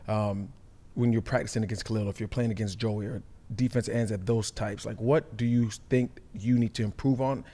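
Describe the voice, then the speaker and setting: Former-player co-host, American man, lowish voice, loose and conversational sports talk. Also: steady tone, moderate pace, warm tone. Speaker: former football player co-hosting. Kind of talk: casual sports chat.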